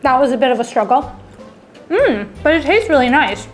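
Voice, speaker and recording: A woman hosts a food vlog with a slightly high voice. She tastes dishes on camera and thinks out loud as she goes. Her talk is chatty and relaxed. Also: normal speed, soft background music. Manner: chatty, thinks aloud while tasting. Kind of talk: casual food tasting vlog.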